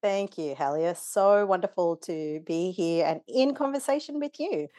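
Low, mellow-voiced woman podcast guest. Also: animated, measured pace, no room sound, studio quality. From Kenya. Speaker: guest, facilitator. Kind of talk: podcast interview.

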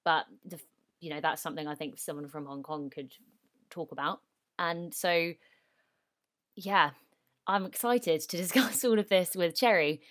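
A young woman co-hosting a casual interview, chatty and a little hesitant, restarting sentences and breaking into excited bursts. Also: fairly low pitch, normal speed, long pauses. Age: young adult. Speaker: podcast co-host.

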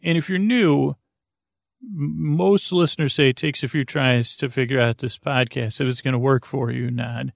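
A man hosting a sleep podcast, talking slowly and meandering with lots of filler words, mumbles and a creaky, soothing tone. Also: medium pitch, fairly even intonation, no echo, clean studio sound. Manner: slow, meandering, soothing and self-deprecating. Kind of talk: rambling bedtime podcast talk.

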